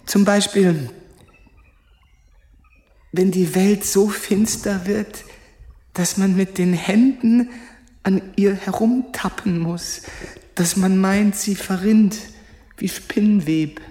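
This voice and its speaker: An actor playing a troubled, humble soldier in a radio play, halting and feverish as he broods on his visions. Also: sits high, steady tone, warm tone. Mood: haunted, searching. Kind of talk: German-language radio play acting. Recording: long hall reverb, faint noise.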